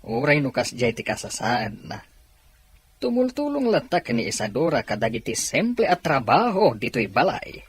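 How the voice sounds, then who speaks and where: A man playing a kindly, thoughtful character in a Filipino radio drama, with a raspy voice. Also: mid-range voice, big pitch swings, normal speed. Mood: earnest. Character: kindly, thoughtful unmarried man planning an adoption.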